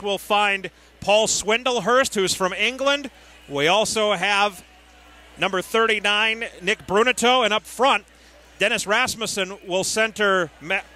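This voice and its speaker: Man, high-pitched voice, bright forceful tone, radio hockey play-by-play, clipped steady delivery. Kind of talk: live ice hockey radio commentary. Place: at an ice hockey arena.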